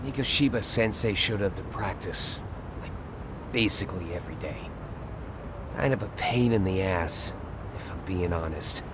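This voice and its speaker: A man's voice: low voice, moderate pace, noisy background, lightly acted. An actor playing a brash, mouthy young male student underling in a video game, slangy and flippant.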